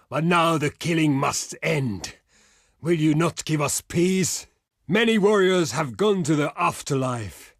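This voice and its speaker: Barbarian warlord for a strategy game: proud, hot-tempered, high register, slow archaic declamation. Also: male voice, dry recording, clean studio sound. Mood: grave, pleading. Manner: declamatory, archaic, blunt speech. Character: proud, hot-tempered barbarian chieftain, adult warrior negotiating. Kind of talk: theatrical video game character voice lines.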